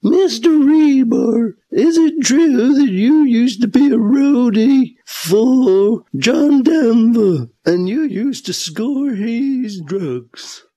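A man acts out a nosy radio-comedy reporter with a high-pitched voice. He questions formally and persistently, in a slow delivery, with faint music underneath. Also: animated, dark tone, dry recording, full character voice, rough recording. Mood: accusing. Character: persistent press reporters lobbing absurd accusatory questions. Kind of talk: comedy radio theater press conference.

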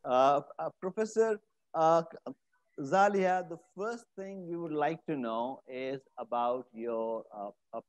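A man's voice: mid-range voice, dry recording. A middle-aged male host reads a formal introduction, then stumbles through his questions with plenty of fillers. The room sound is dry. The tone is slightly muffled. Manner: hesitant when asking questions, many uh's. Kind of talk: reading a guest introduction, then interviewing. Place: studio set with armchair.

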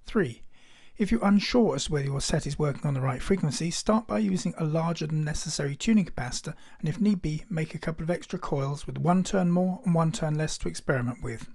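A man presents scripted technical narration in a measured, instructional manner. His voice sits a little high for a man and has a very soft, mellow tone. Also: gravelly. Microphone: voice-over.

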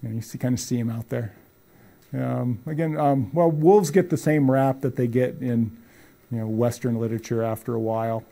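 A man's voice: medium pitch, steady tone, moderate pace, creaky, warm tone. A middle-aged man giving a college lecture in a brick-walled room, talking conversationally with many fillers and restarts. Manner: gestures broadly while talking. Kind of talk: public lecture with slides.

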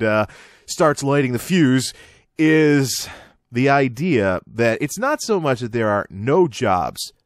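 A man's voice: medium pitch, no room sound. American guy doing a radio style news rant, wry and emphatic, voice swings all over, dry sound. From United States. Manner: wry, emphatic, exasperated asides. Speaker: host of an online radio-style commentary show. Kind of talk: opinionated news commentary, reading and ranting.

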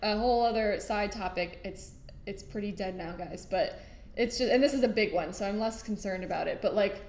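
A young woman co-hosting a casual podcast chat, animated and peppering her talk with "like". She speaks in a large workshop. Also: mid-range voice, brisk. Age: young adult. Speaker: co-host of a mill's video podcast. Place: indoors in a large mill workshop with machinery. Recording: roomy, echoey workshop sound.

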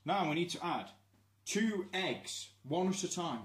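A man's voice: high-pitched, animated, measured pace, echoey room. A young man with a Midlands English accent, cracking jokes while baking at home. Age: young adult. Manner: wisecracking, mock-announcer asides. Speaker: cooking vlog co-host. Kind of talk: casual jokey cooking chat. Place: small home kitchen. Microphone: camera mic on the counter. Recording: noisy home video.

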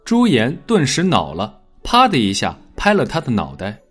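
A man narrating a dramatic scripted story in a measured, expressive storytelling manner. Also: mid-range voice, slightly rough, soft background music. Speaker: audiobook narrator.

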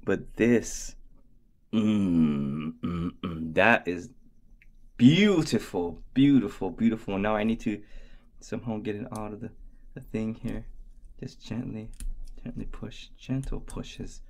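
A man doing a goofy, excitable watch strap review at his desk, talking to himself and dropping into whispers. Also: fairly low pitch, takes it slowly. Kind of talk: casual unboxing review. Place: indoors at a desk.

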